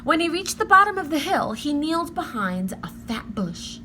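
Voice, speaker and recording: A woman narrating a children's book aloud with expressive, careful, dramatic phrasing. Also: sits high, moderate pace, a little hiss or hum. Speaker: storybook reader.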